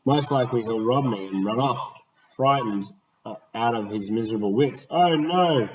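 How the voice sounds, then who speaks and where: A man doing a pleading blind old gentleman. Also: mid-range voice, moderate pace, recorded from a distance, natural voice, rough recording. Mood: uneasy. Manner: pleading and anxious.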